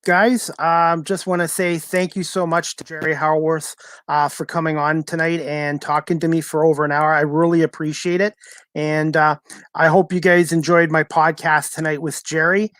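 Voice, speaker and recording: Higher-pitched man hosting a podcast. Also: flat delivery, moderate pace, fairly bright tone, no echo. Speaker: podcast host. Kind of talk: casual live podcast hosting.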